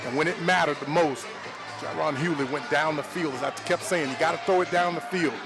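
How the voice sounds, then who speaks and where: Slightly high-voiced male football analyst. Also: fairly quick, vocal fry, over music. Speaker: color commentator.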